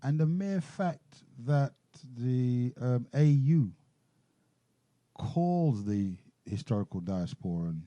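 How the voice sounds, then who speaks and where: Man, radio talk show host, very dark mellow tone, measured and questioning, halting, dry sound. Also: mid-range voice, lively intonation, dry recording, lo-fi sound.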